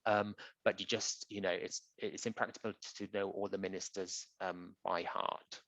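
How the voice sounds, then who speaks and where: A man who works as a translator, answering audience questions in a relaxed, conversational way with frequent ums and uhs. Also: sits low, normal speed, vocal fry, dry recording. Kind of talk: conversational Q&A presentation. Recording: dull, dry lo-fi audio.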